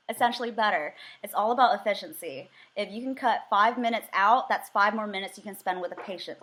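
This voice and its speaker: A woman's voice: mid-range voice, a bit bright. A young American woman, raised speaking Vietnamese first, giving earnest, eager answers in a mock job interview with frequent filler words. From United States. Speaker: job candidate.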